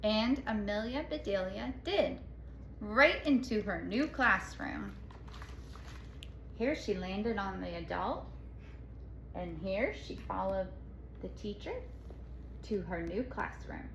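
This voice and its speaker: A woman reads a children's storybook aloud at an unhurried pace. Her voice is bright and expressive, and she pauses to point out pictures. The room is echoey. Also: mid-range voice. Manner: expressive, pausing to explain pictures. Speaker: storybook reader. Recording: echoey room, faint background noise.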